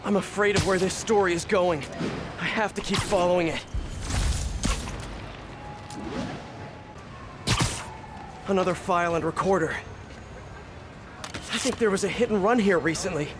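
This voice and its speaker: A man voices a young American superhero detective in a video game, pitched a little high for a man. He muses to himself with curious, earnest wit and a wry conversational touch. Clear traffic-like noise runs behind him. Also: fairly quick, natural voice. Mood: worried, surprised. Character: young quippy superhero investigator, curious, earnest, thinking aloud. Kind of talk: video game voice acting, monologue.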